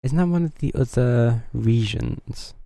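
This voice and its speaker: A man's voice: mid-range voice, unhurried, creaky, mellow, no echo, studio quality. A man doing casual livestream game commentary, muttering to himself in a wry, understated way. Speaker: game streamer. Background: faint game music.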